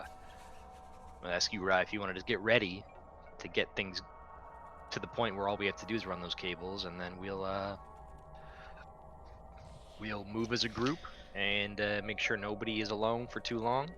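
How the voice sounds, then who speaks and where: A man's voice: lowish voice, fairly even intonation, normal speed, long pauses, music in the background. A man playing a tabletop roleplaying game, chatting casually in and out of character with a take-charge manner.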